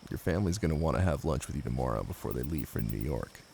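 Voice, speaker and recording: A man's voice: expressive, fast talker, vocal fry, background noise, full character voice. A man playing a brooding, possessive young mafia heir and new husband in an intimate roleplay audio drama, blunt and commanding yet protective. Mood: solemn, protective. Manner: blunt, commanding, swearing, low-key intimate delivery.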